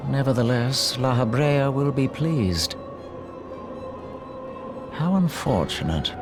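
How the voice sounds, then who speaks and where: A scheming masked sorcerer from a fantasy game, aloof and cold. Every word is drawn out at a crawl, detached and faintly mocking, with mid-range tone. A violin score plays underneath. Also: male voice, medium pitch, big pitch swings, takes it slowly, over music. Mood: coolly disdainful.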